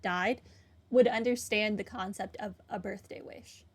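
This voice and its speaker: A woman's voice: mid-range voice, steady tone, a little slow, husky. A young woman giving casual commentary as she plays a game. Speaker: gaming video host.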